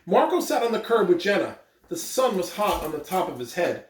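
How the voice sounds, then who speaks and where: A man's voice: high-pitched, sing-song, brisk. Upbeat American man, roomy and distant. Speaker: school principal reading announcements. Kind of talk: morning announcements and children's read-aloud. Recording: roomy, distant mic with background noise.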